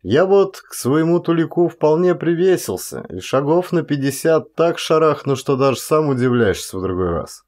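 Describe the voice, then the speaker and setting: A man's voice: a little high, big pitch swings, moderate pace, few pauses, darkish tone, voice pushed up. A man voicing a grumbling, plain-spoken hunter. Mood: matter-of-fact. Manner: colloquial, grumbling. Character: gruff male hunter, plain-spoken, grumbling at his dog.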